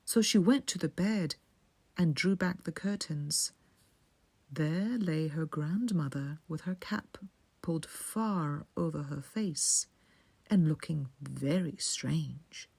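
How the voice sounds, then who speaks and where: Slow, soothing woman bedtime storyteller. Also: low-pitched, gravelly, a little mellow, breathy edge. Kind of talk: slow, soothing scripted fairy-tale narration for sleep.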